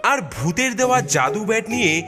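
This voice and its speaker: A man's voice: high voice, lively intonation, moderate pace, loud music behind the voice. A man narrating a children's cartoon story in a dramatic storytelling manner.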